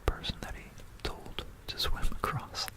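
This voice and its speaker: A breathy whisper from an ASMR host, very slow and deliberate. The storyteller pauses often and softly repeats phrases while walking through history, close on a binaural mic. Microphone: close stereo binaural microphone.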